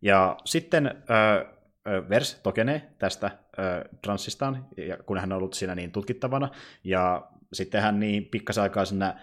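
A man co-hosting a podcast, talking casually about films and pausing to pick his words. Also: fairly low pitch, a bit bright. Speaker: podcast co-host. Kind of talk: casual film discussion and plot recap.

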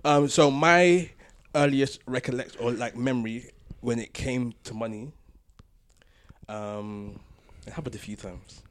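A man's voice: medium pitch, steady tone, measured pace. Casual man hosting a podcast. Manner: jokey storytelling, laughs often. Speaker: podcast host.

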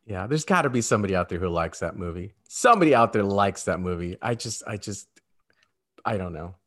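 A man's voice: low voice, lively intonation, a little fast, fairly bright tone. A man co-hosting a podcast, talking about films in an informal, conversational way. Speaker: podcast co-host. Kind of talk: casual film discussion.

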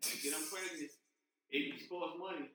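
A man's voice: a little high, fairly even intonation, normal speed, creaky, bright, forceful tone, very echoey, slight background noise, rough recording. A man reminiscing casually with friends, laid back and laughing often. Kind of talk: casual chat among friends.

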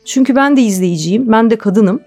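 High-voiced woman explaining in an interview. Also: normal speed, a little mellow, faint music behind. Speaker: interview guest, television drama producer. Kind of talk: conversational radio interview, reflective explaining.

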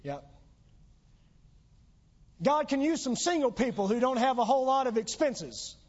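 A man's voice: very high voice, big pitch swings, unhurried. A pastor preaching a sermon in church, losing his voice, with earnest, emphatic exhortations. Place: church sanctuary. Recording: dull lo-fi church recording.

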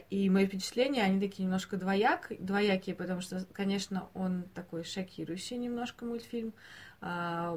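A woman hosting an unscripted podcast discussion, often searching for her words as she talks. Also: on the low side. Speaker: podcast host.

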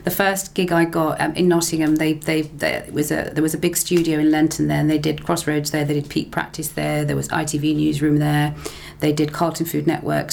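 Woman, middle-aged, gravelly, chatty, repeats herself, faint hiss behind. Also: low voice, darkish tone. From England. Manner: chatty, laughs often, repeats phrases. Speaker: interview guest, broadcaster and lecturer. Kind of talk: casual interview storytelling.

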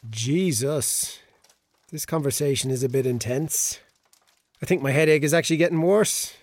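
A man's voice: on the high side, normal speed, no echo, lightly acted. An actor with an Irish accent playing a hungover, bewildered, flippant ladies' man in a radio drama, talking in an awkward, colloquial way. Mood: bemused.

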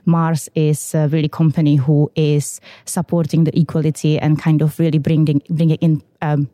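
Low-voiced Czech woman, dry sound. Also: flat delivery, moderate pace, dark tone, dry recording. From Czech Republic. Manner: hesitant, many fillers, upbeat. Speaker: guest, quality systems manager. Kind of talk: podcast interview.

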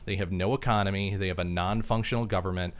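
A man's voice: low voice, fairly even intonation, fast. A man in a political discussion, blunt and sardonic, swearing casually. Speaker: panelist.

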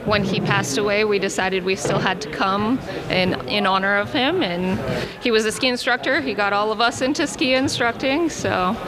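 A young woman from Utah answers interview questions earnestly, pausing with many ums. Her voice is mid-pitched, and a busy crowd murmurs behind her in the ski lodge bar. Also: rough recording. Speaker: ski instructor guest.

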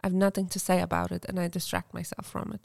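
Gravelly-voiced woman, soft and reflective. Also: fairly low pitch, completely flat delivery, fast, no room sound, studio quality. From Afghanistan. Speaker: podcast co-host. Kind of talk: casual podcast conversation.

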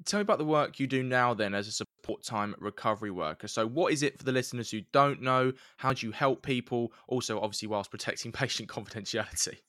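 A man's voice: medium pitch, fast, hardly any pauses, a bit bright, no room sound, clean studio sound. A man hosting a podcast, reading his intro and then interviewing a guest in a friendly, informal way. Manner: friendly, informal, calls guest "pal" and "mate". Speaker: podcast host.

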